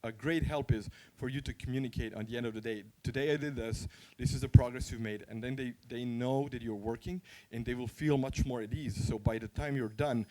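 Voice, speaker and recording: Male conference speaker, Croatian accent, mid-pitched voice. Candid, informal, anecdotal delivery, conversational throughout. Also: fairly even intonation, a little fast, few pauses, warm tone, low-quality recording.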